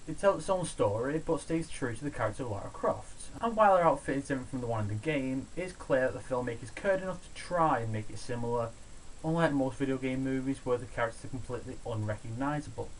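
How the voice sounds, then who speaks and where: A man's voice: medium pitch, animated, fast, a little hiss or hum, rough recording. A man hosting a scripted film countdown review, talking in a casual, opinionated, sweary way. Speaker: host of a video review show. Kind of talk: scripted countdown commentary on films.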